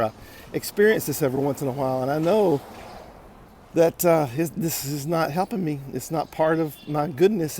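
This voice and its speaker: A man giving a casual motivational monologue as a vlog host while walking outdoors, deliberate and repeating phrases for emphasis. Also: on the high side, dark tone. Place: outdoors, walking on city streets. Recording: clear outdoor street noise.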